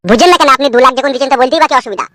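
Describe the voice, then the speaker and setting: A man's voice: very high voice, very fast, bright, forceful tone, no echo, clean studio sound. A man voicing an eager, fawning henchman of a village politician in a Bengali cartoon, with a rural West Bengal accent. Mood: eager, advising. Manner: eager, advising, obsequious. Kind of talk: comic cartoon dialogue.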